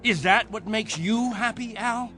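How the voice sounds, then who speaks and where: A man acting in a radio drama as a stern, commanding captain, speaking with measured, sardonic authority. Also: sits high, pushed and bright, a little hiss or hum. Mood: intense, insistent. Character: stern, commanding male captain of a stranded settlement.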